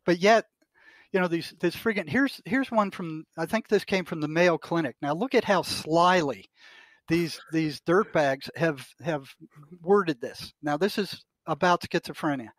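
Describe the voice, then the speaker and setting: A man's voice: fairly high pitch, a little slow, a bit bright, no room sound. An older man, a retired psychotherapist and podcast guest, talking bluntly and indignantly, with emphasis and some mild swearing. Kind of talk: opinionated discussion, quoting articles aloud.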